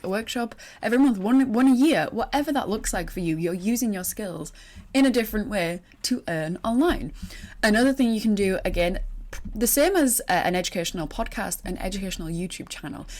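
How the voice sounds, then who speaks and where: A young woman with a Yorkshire accent speaks directly to her listeners, coaching teachers on earning money online. Her manner is chatty and encouraging, with plenty of fillers and restarted phrases. Her voice sits at an ordinary middle pitch for a woman. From northern England. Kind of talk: solo talk to camera.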